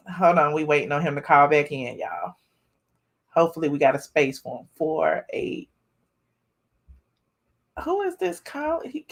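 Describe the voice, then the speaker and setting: A woman's voice: lowish voice, a bit bright. A woman hosting a live call-in stream, chatting casually and talking straight to her viewers. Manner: chatty, talks to viewers directly. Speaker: host of a live call-in show.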